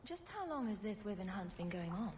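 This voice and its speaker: A woman's voice: mid-range voice, big pitch swings, brisk, darkish tone, slight background noise, close to an everyday voice. A woman playing a sarcastic, joking adventurer in a video game, with a wry delivery. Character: sarcastic, humorous adventurer woman, quick with dry jokes.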